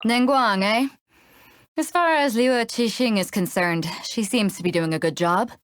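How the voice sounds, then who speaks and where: A woman's voice: fairly high pitch, measured pace, no echo, natural voice. A woman playing a bold, big-hearted ship captain for a video game, with a confident, frank and laid-back manner. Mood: frank, easygoing. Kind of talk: video game character voice lines.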